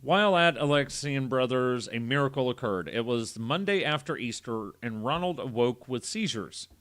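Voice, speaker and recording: A man's voice: mid-range voice, lively intonation, moderate pace, a bit bright. A man hosting a casual podcast, reading a story aloud with irreverent, foul-mouthed joking asides. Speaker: podcast host.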